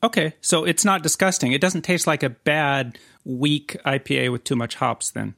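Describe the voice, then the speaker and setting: A man's voice: fairly high pitch, normal speed, creaky, a bit bright, no room sound, clean studio sound. A man co-hosting a casual podcast, joking around and reading beer labels aloud. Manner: jokey, reads labels aloud. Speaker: podcast co-host. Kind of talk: casual chat.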